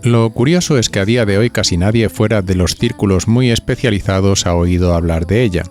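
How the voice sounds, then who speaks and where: A man with a fairly low voice and an accent from Spain hosts a gossip podcast. He narrates from a script in a chatty, playful way, confiding directly in the listener. Music plays lightly behind him. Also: fairly quick. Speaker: podcast host.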